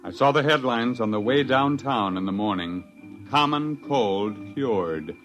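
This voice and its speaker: A man's voice: mid-range voice, lively intonation, measured pace, lo-fi sound, soft background music. An actor playing an indignant male research doctor who narrates a 1950s radio drama. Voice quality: indignant, sharp-tongued. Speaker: narrator and lead character.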